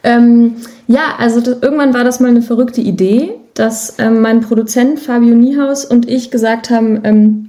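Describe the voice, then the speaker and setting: Woman chatting casually, mellow voice. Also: a little high, steady tone, moderate pace, hardly any pauses. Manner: chatty, informal storytelling. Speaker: interview guest, musician and songwriter. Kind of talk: casual podcast interview.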